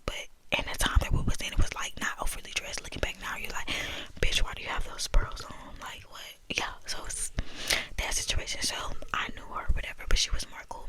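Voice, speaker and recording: A young woman whispering a candid, profane personal story about her school days. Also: poor audio.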